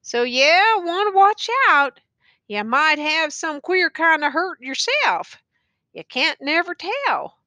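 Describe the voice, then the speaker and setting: A battered male soldier rambling in a slurred, folksy drawl, as a woman reader puts him on. His voice rides noticeably higher than the narration and swoops up and down as he frets, with a bright, forward edge. The words come slowly and drag. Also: very animated, no echo, full character voice. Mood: rambling, fretful. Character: rambling wounded old-fashioned male soldier.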